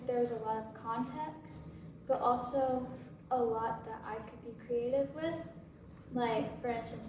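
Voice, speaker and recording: A woman speaking as an actor in a casual Q&A, informal and halting. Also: fairly high pitch. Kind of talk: casual Q&A chat. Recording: very echoey room, distant mic, noisy background.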